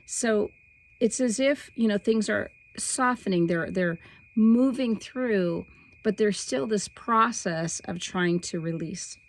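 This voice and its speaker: A middle-aged woman with a slightly hoarse voice, hosting a weekly spiritual forecast in a soft, meandering way that stretches key words. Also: medium pitch, takes it slowly. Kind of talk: casual spiritual talk to camera.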